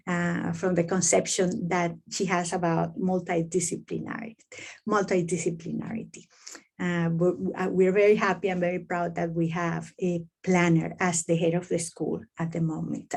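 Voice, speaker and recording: Middle-aged woman from Venezuela, halting. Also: fairly low pitch, gravelly, a little mellow. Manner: hesitant, many fillers and restarts. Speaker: professor presenting to admitted students. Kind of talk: online info session talk.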